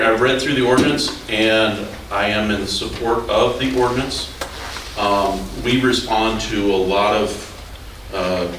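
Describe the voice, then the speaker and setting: A man speaking as a fire chief, giving a plain, measured public comment at a county hearing. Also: deep voice. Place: county meeting room. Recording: echoey room, distant mic, noisy.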